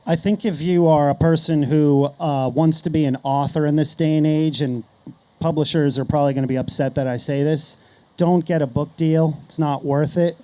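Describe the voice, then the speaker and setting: A man with a fairly high but very soft-edged, mellow voice talks on a panel. He is middle-aged and chats in an informal, anecdotal way, sprinkling in casual filler phrases. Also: steady tone, moderate pace. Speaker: panelist, podcast host. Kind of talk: conference panel discussion, casual.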